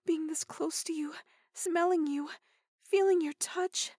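A woman voicing an eager, submissive, excitable adult dog-human hybrid in an intimate audio roleplay, with a breathy, pleading manner. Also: very high voice, a little slow, no room sound. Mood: shy, needy.

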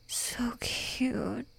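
A woman whispering close to the mic in an adult roleplay, dominant and teasing, giving commands in a breathy whisper. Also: low-quality recording.

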